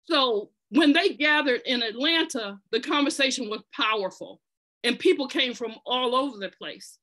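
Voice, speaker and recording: A woman's voice: high-pitched, moderate pace, fairly bright tone, poor audio. A woman telling the story of an organization in an earnest, conversational way, partly reading aloud, with fillers and restarts. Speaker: podcast presenter telling an organization's history.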